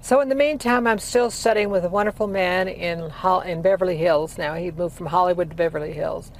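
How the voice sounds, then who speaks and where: An elderly woman in her eighties rambles through her memories, full of pauses and "uh"s. She sounds like a retired singer chatting outdoors, with loud passing cars behind her. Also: fairly low pitch, low-quality recording. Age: 80s. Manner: rambling storytelling with many fillers. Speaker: interviewee, former opera singer. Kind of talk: casual life-story interview. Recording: heavy traffic noise behind.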